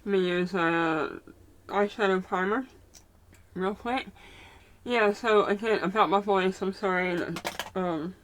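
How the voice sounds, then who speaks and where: A woman's voice: mid-range voice, slow pace, pauses often, fairly bright tone, slight background noise. A woman from Mississippi with a strong Southern accent and a speech impediment, chatting casually while she does her makeup and rambling as she searches for words. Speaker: vlogger. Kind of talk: casual get-ready-with-me chat.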